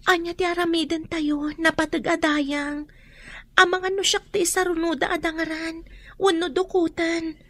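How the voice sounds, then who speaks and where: A woman's voice: very high-pitched, level tone, normal speed, no echo. A woman playing an anxious, picked-on young girl in a radio drama, with a thin youthful voice and an Ilocano accent. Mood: worried. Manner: plaintive, anxious. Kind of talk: Filipino radio drama acting.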